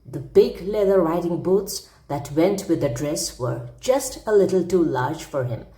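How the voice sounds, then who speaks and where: A woman storyteller with a lowish, mellow voice reads a classic tale aloud. Her delivery is theatrical and emphatic, swinging widely in pitch. The sound is roomy and echoing. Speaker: storyteller, audiobook narrator. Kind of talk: expressive read-aloud narration of a classic humorous story.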